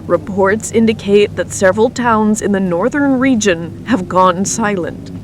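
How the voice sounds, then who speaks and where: A woman playing a weary emergency radio announcer in an apocalypse radio drama, speaking in a grave, deliberate manner. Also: medium pitch, noisy background, natural voice. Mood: grave, steady. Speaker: radio news broadcaster. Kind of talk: scripted radio drama broadcast.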